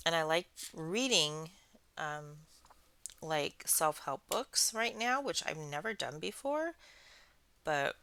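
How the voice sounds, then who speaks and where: A woman hosting a craft channel, chatting casually in a soothing, soft-spoken, rambling way. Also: low voice, creaky, clean tone, dry recording. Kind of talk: casual chat while crafting.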